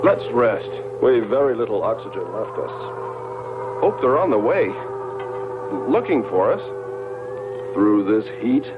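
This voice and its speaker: A man's voice: fairly low pitch, expressive, unhurried, pauses often. An actor, a man, playing a weary stranded astronaut who speaks in short, labored phrases in a 1960s science fiction film dub. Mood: weary, strained. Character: weary, struggling stranded astronaut urging onward. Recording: very noisy, muffled old film soundtrack.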